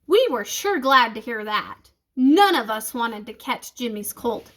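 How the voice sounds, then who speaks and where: A woman's voice: fairly high pitch, big pitch swings, unhurried, fairly bright tone. A woman reading a children's book aloud, expressively and speaking directly to young listeners.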